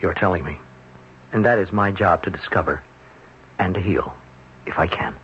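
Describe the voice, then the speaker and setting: An actor playing a calm, thoughtful male hospital psychiatrist, measured and gently probing. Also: low voice, slight background noise. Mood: concerned. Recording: muffled vintage radio broadcast.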